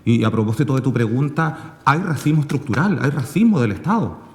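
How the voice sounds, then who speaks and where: A man speaking off the cuff as a panelist, in a halting way full of filler words. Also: mid-range voice, lively intonation, darkish tone. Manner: halting, filler-heavy phrasing with repeated "digamos". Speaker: panelist, radio project maker. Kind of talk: spontaneous panel talk. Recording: echoey hall with long reverb.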